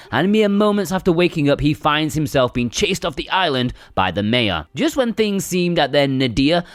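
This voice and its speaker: Animated male game reviewer, dry close sound. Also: a little high, no room sound. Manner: wry, conversational asides. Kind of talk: scripted review narration.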